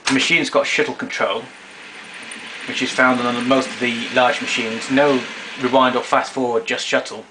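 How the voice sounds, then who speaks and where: A man's voice: mid-range voice, level tone, moderate pace. A man giving an informal hands-on equipment demonstration in a matter-of-fact, explanatory way, recorded on a camera mic in a small room at home. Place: small domestic room indoors. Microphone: camera mic a short distance away. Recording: noisy amateur room recording. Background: clear background noise.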